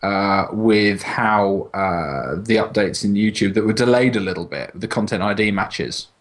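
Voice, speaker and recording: A man's voice: fairly low pitch, a little slow. A man chatting casually as a podcast co-host, rambling and exasperated with plenty of swearing. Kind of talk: casual group podcast chat. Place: at home, online voice call.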